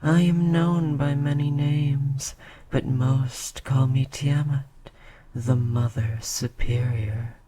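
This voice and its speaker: A woman voicing an ancient queen succubus, soft and tender, often slipping into a breathy whisper in an ASMR roleplay. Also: rumbling low, a little hiss or hum. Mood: seductive.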